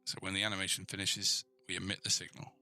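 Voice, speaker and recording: A man's voice: sits low, completely flat delivery, fast talker, pushed and bright. A man giving an informal screencast coding tutorial, thinking aloud and checking in with the viewer as he goes. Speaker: programming tutor.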